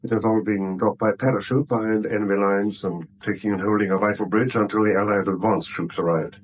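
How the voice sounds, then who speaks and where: An actor playing a principled, haunted war veteran in a British radio drama, grave, clipped and stubborn, with a British accent. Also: male voice, lowish voice, fairly even intonation, fairly quick, dull audio, lightly acted. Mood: grim recounting. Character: principled, haunted male war veteran turned bank employee. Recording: vintage radio recording with faint hiss.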